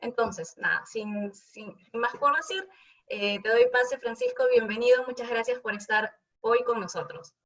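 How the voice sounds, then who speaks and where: A woman's voice: fairly high pitch, moderate pace. A woman hosting a webinar, introducing the guest and explaining how the questions will work in a friendly, organized way over a video call. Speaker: webinar host. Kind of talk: event introduction. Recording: dull distant video-call audio.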